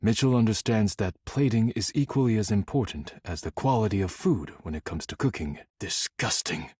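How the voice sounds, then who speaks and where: A male actor playing a stern, proud, easily offended dishware character in a video game, speaking formally and curtly with solemn deadpan. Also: on the low side, normal speed, slightly rough, dry recording. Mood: formal, measured. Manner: formal, curt, deadpan, solemn, avoids contractions.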